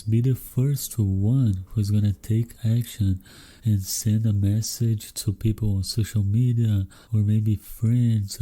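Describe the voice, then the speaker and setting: Man, Brazilian accent, Portuguese native, podcast host, encouraging, deep warm tone. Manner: encouraging, speaks straight to listeners. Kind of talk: solo podcast talk to English learners.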